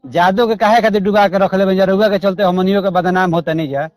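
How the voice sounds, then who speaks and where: High-pitched Bhojpuri-accented man, argumentative. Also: steady tone, brisk, a little mellow. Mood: aggrieved. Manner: argumentative, aggrieved, repetitive, coarse-mouthed. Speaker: caller. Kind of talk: confrontational phone call.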